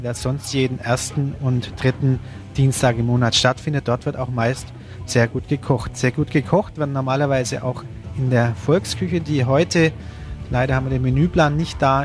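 A man presents informal local radio event tips, loosely repeating details for listeners. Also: mid-range voice.

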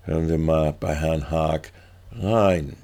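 A man delivers local political commentary as a reporter. His voice is low and he takes his time, building long, convoluted sentences. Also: steady tone, slow pace, warm tone.

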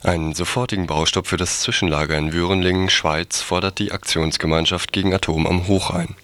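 Man, radio news reader, very low voice, dry close sound, scripted delivery. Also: steady tone, a little fast, a bit bright, no echo.

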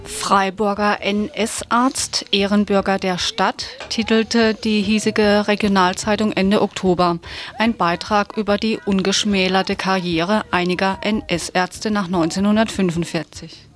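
A woman's voice: mid-range voice, fairly even intonation, a little slow. A woman radio presenter reading a scripted news announcement. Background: music.

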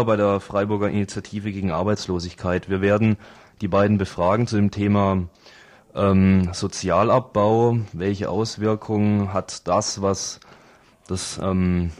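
A man co-hosting a live radio magazine, partly reading, with a halting delivery and drawn-out hesitations. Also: fairly low pitch, a little mellow. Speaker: radio co-host. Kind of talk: live radio presenting, semi-scripted.

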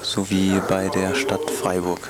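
Man, interpreter, lowish voice, little pitch movement, hesitant piecemeal phrasing, loud crowd behind. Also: monotone, unhurried. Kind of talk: live consecutive or simultaneous interpreting of a speech.

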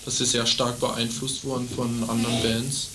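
A man's voice: mid-range voice, little pitch movement, moderate pace, background noise. A man in his late teens, a band member, talking casually in an interview and searching for his words.

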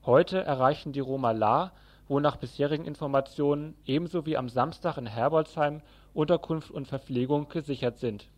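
A man's voice: on the high side, moderate pace, a little mellow. A man presenting a news report from a script, as a radio newsreader.

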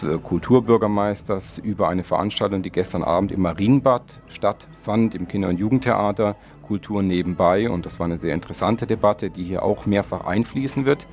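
A man with a deep voice and plenty of vocal fry presents an informal radio magazine. He speaks into a studio microphone, phrasing things loosely and amending himself along the way. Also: darkish tone. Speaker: radio host. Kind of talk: informal magazine show introduction and interview.

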